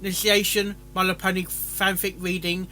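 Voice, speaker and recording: A man giving casual off-the-cuff commentary as a reaction host, halting and repeating himself. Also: high voice, little pitch movement, bright, forceful tone, a little hiss or hum.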